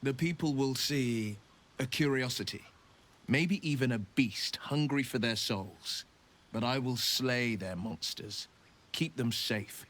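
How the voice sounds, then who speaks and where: A man's voice: mid-range voice, slow pace, a bit bright. A man playing a horned, pact-bound warlock hero in a video game, speaking with solemn, eloquent, theatrical earnestness. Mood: resolute. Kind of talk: dramatic video game acting.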